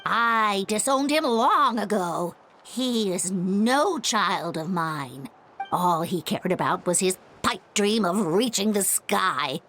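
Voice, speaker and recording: A middle-aged, bitter mother in a video game. She speaks slowly at a medium pitch, with cold scorn in every phrase. A faint noise runs behind the voice. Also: expressive, fairly bright tone. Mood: scornful. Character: bitter, unforgiving mother disowning her grown son.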